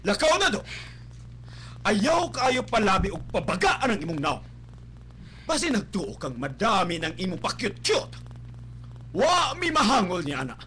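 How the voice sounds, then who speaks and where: A man's voice: sits high, wildly swooping pitch, measured pace, pauses often, bright, forceful tone, full character voice. A man acting a rich, snobbish, scolding father with a Cebuano accent in a melodramatic radio drama. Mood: furious. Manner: haughty, scolding, explosive. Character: rich, snobbish father scorning daughter's poor suitor. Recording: noisy live-stream radio capture with hum.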